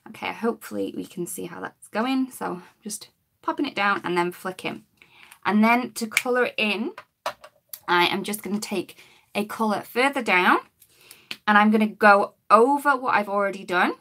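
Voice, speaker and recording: A woman, her voice a bit croaky, demonstrating coloring with markers in a chatty live-stream lesson. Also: medium pitch, moderate pace, a bit bright. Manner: chatty, talks while working, greets viewers. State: slightly croaky voice.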